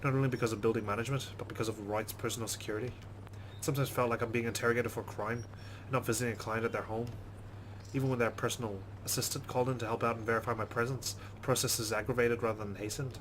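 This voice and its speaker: A man's voice: mid-range voice, steady tone, fast, slight background noise. A man playing through a story game, reading its narration aloud with casual asides. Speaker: let's play host.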